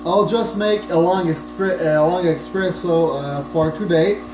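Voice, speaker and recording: A man's voice: on the high side, steady tone, measured pace. A man in his thirties chatting through a casual how-to demonstration in his home kitchen, hesitant with fillers and restarts, recorded by a camera on the counter. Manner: chatty, hesitant with fillers and restarts, moving about while working. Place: home kitchen indoors at night. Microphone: camera on the counter at a distance. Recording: echoey kitchen, faint room noise.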